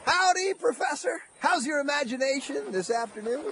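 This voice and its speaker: Teasing vulture sidekick, extremely high pitch, folksy, radio horror comedy, faint noise. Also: male voice, animated, moderate pace, fairly bright tone, heavily acted. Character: sassy, chirpy turkey vulture sidekick. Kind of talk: audio horror-comedy drama acting.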